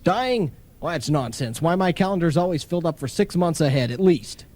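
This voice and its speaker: Vain, gullible middle-aged boaster, male actor, high animated voice, pompous old-time radio comedy delivery. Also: brisk, close to an everyday voice. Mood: self-important. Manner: self-important, boastful, flustered stammering. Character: pompous, blustering henpecked businessman, vain and gullible.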